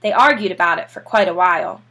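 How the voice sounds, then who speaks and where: A woman narrating a children's storybook aloud like a teacher, with expressive delivery. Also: lowish voice, fast.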